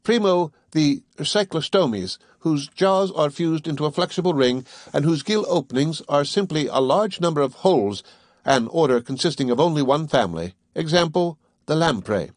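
A man voicing a fussy, pedantic male servant who lectures in a precise, drawn-out way. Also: a little high, expressive, no room sound, studio quality, voice pushed up. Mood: lecturing. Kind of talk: audiobook character voice.

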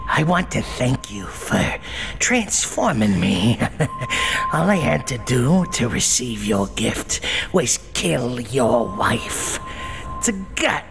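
A man's voice: mid-range voice, very animated, takes it slowly, vocal fry, over music, full character voice. A man voicing a sadistic demonic clown villain in a comic dub, mocking and taunting as he gloats. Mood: gloating, taunting.

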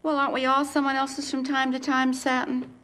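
A woman's voice: high-pitched, completely flat delivery, brisk, fairly bright tone, a little hiss or hum. Woman doing a sugary, snide mentor. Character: catty, sharp-tongued female mentor.

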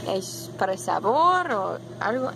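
A young woman, a native English speaker, vlogging slowly and haltingly in learner Spanish while holding her camera at arm's length in a car and in shops. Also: mid-range voice, big pitch swings, gravelly, noisy background. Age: young adult. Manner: slow and halting, with many fillers. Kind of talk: casual vlog in learner Spanish. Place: car, restaurant, parking lot and grocery stores. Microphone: handheld camera at arm's length.

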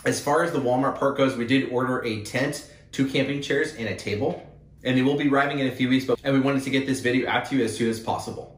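A man's voice: mid-range voice, quick pace. A young man hosting a casual, upbeat product haul vlog, with plenty of fillers. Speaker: channel host. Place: indoor room with wood-panel wall. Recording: roomy echo, mic at a distance.

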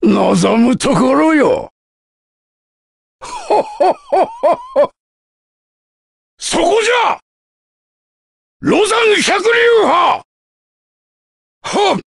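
An actor playing a wizened, sly old martial arts master in an anime game, with spry elderly speech. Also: male voice, extremely high pitch, wildly swooping pitch, drawn-out words, gravelly, pauses often, no echo, raised voice, clean studio sound, exaggerated voice. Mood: spry, confident. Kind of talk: anime game battle lines.

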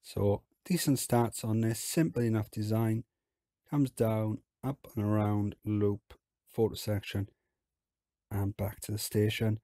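A man with a hoarse, croaky voice from illness, chatting casually as he talks through a theme park game. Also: on the low side, measured pace, no room sound, studio quality. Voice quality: husky, croaky. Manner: relaxed, chatty, explains as he plays. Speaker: game video host.

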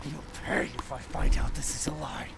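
Gravelly, angry game hero. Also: male voice, a little high, fairly even intonation, normal speed, in a whisper. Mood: angry, impatient. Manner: curt, confrontational. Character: angry, impatient male game protagonist, gruff, distrustful. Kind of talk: video game character acting.

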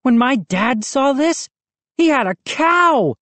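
A woman voicing an excitable boy who is the narrator's friend, loud and agitated. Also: sits high, takes it slowly, no echo, voice pushed up, heavily acted.

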